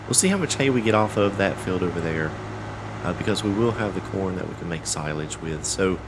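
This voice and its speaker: A fairly low-voiced man narrates his farming game in a loose, rambling way, circling back with "uh" and repeated words. He sounds congested, his voice cracking now and then. Faint game ambience sits under him. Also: a little fast, background noise. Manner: relaxed, rambling, with many fillers and restarts. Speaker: let's play host. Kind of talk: casual gameplay commentary.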